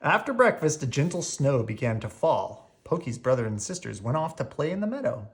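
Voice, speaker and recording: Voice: mid-range voice, sing-song, a little fast, slight background noise. A storyteller reading a children's picture book aloud expressively, drawing out key words.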